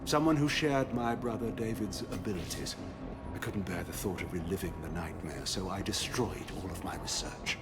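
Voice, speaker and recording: A man's voice: sits low, moderate pace, heavy background noise. An actor playing a guilt-ridden male scientist hiding from his former employers, speaking in a subdued, somber way. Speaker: game character. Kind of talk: video game voice acting.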